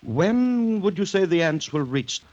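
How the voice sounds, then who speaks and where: A man acting a sober, alarmed witness to a deadly menace, narrating gravely and deliberately in 1940s American radio drama style. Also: sits high, big pitch swings, darkish tone, muffled recording, slight background noise. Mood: uneasy. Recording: old radio recording with music.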